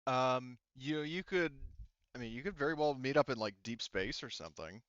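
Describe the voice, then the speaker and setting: A man's voice: medium pitch, lively intonation, normal speed, a bit bright, dry recording, highs cut off. A man acting as game master in a casual tabletop roleplaying session, rambling and thinking aloud with the odd swear word.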